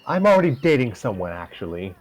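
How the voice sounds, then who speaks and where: Man playing an anxious, dramatic teenage girl, mid pitch. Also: big pitch swings, brisk, soft background music, close to an everyday voice. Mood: sheepish. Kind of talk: comedic amateur fan fiction dramatic reading.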